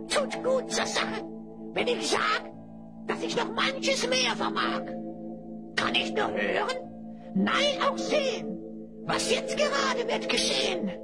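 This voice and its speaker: An actor playing a sly, servile hyena spy in a fantasy audio drama, speaking in rhymes with a creaky, cackling voice. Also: extremely high pitch, wildly swooping pitch, slow, pauses often, over loud music, full character voice. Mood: mocking, boastful.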